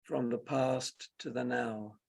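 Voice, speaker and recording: Male meditation teacher, very creaky and husky voice, leisurely delivery in short phrases with generous pauses. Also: medium pitch, fairly even intonation. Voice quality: gravelly, husky. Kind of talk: guided meditation, spoken slowly and softly.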